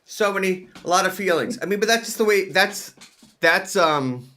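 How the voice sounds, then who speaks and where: A man's voice: high-pitched, animated, moderate pace, sharp tone. A man with a voice a bit above the usual range for men, hosting a food radio talk. He rambles through his questions with plenty of fillers, eager and chatty. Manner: rambling, filler-heavy, enthusiastic. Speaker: radio show host. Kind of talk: casual interview talk.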